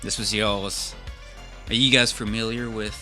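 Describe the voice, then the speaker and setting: A man with a fairly low, bright voice hosts a podcast. He chats casually, with faint music behind him. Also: little pitch movement, unhurried. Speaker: podcast host. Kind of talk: casual group podcast chat.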